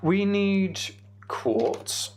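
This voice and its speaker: A man's voice: sits high, expressive, extremely slow, noisy background. A man giving casual, rambling gaming commentary to a live chat, with playfully exasperated banter. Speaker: game streamer hosting a live chat stream.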